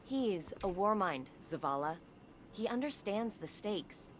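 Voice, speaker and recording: A woman acting as a determined soldier and tech expert in a video game. She speaks earnestly and persuasively at a middle pitch. Faint noise and game effects sit behind her voice. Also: expressive, a little slow, close to an everyday voice.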